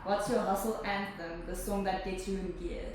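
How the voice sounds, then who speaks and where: A woman interviewer reading out prepared questions in a brisk, upbeat way. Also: mid-range voice, fairly even intonation. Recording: echoey room, distant mic, audible noise.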